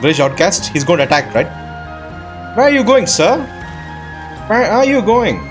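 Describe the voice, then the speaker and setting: A man's voice: high voice, big pitch swings, moderate pace. A man giving casual let's-play commentary as a gaming host, thinking aloud in short questions. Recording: music behind the voice. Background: game music.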